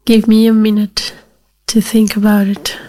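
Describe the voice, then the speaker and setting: A woman speaking slowly and reflectively, partly in a whisper. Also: medium pitch, monotone. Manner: slow, hushed, partly whispered. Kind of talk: reflective spoken piece.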